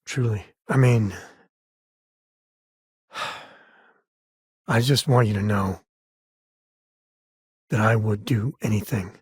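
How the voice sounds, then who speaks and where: Husky wry space explorer. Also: male voice, fairly low pitch, slow, long pauses, a little mellow, no echo, full character voice. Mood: earnest. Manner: folksy, dry, sardonic. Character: wry, warm space explorer and gruff-hearted dad. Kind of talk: video game voice acting.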